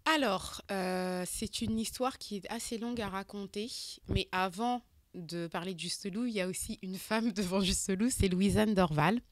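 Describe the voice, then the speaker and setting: A woman in her thirties from France, a guest in an interview, speaking casually with many hesitations and pauses. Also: mid-range voice.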